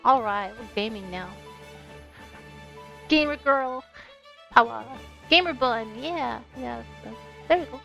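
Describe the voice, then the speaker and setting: A woman's voice: fairly high pitch, takes it slowly, gravelly, long pauses, sharp tone. A woman with a bubbly, playful manner, talking as a game streamer on a livestream. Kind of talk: casual livestream chat with read-aloud game narration. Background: game music.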